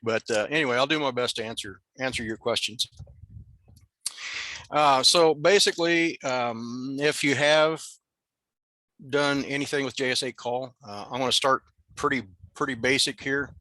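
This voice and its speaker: Older American man, bright dry voice. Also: mid-range voice, moderate pace, dry recording. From Nebraska, USA. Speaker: guest presenter at an amateur radio club. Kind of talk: informal presentation over video call.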